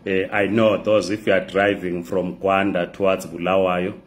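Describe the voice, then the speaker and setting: A man, hosting a political talk show to camera, his voice hoarse from a flu, pausing often with "uh". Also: low-pitched, little pitch movement. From Zimbabwe. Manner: measured, frequent "uh" pauses. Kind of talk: direct-to-camera political address. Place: bright indoor room. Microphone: phone camera close up.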